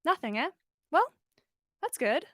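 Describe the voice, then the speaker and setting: Female reader as kindly young wizard gardener in a storybook tale: affectionate, befuddled, pitched high and lively. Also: a little slow, dry recording, studio quality, exaggerated voice. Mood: fond, befuddled. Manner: affectionate, mildly befuddled, self-deprecating. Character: kindly young male wizard botanist, fondly chatting to pet.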